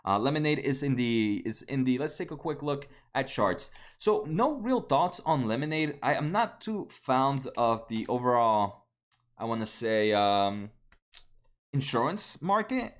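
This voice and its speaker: A man in his late twenties, a native Spanish speaker, hosting a casual stock livestream, answering viewers with many fillers and restarts. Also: mid-range voice. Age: 20s. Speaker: stock market livestream host. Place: home room at a desk.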